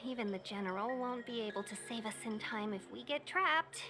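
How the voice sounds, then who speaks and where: A woman's voice: a little high, moderate pace, natural voice. A woman voicing a courteous, cautious young guide in a video game, explaining things formally and politely. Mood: cautioning. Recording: background music throughout.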